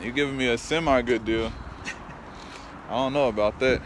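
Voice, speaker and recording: A man's voice: medium pitch, moderate pace. A man in his thirties with an American accent, chatting and haggling casually in a joking, teasing way as he vlogs on a phone. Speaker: vlogger and car dealer picking up auction cars. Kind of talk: casual banter and haggling, talking to camera. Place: outdoors in a car lot, later inside a car. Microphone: phone at arm's length. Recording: noisy handheld phone recording with wind and vehicles.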